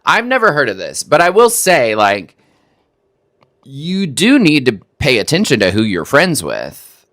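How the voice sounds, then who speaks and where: Wry Texan man, lively skeptical commentary. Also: medium pitch, no room sound, clean studio sound. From Texas. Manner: wry, skeptical, halting restarts. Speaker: reaction video host. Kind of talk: casual commentary on a clip.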